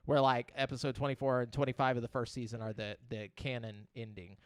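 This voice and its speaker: A man's voice: medium pitch, little pitch movement, a little fast, smooth, dry recording. A man hosting a casual live-stream podcast, rambling through his thoughts with lots of "like" and frequent laughter as he reads the chat. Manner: loose and rambling, full of "like", laughs often.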